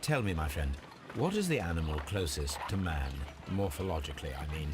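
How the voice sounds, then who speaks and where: An actor playing a brilliant, precise English gentleman detective in a video game, speaking formally and coolly. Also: deep voice, big pitch swings, background noise. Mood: calm, purposeful. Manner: formal, measured, coolly deductive delivery. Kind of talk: scripted video game dialogue.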